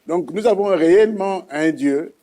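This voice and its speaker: Mellow Congolese man giving testimony. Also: high-pitched, normal speed.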